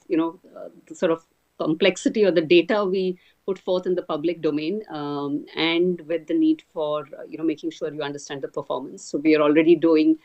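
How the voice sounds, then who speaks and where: Low-voiced woman executive, diplomatic. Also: a little mellow. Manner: diplomatic, measured. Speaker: company finance executive. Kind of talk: answering analyst questions on an earnings call.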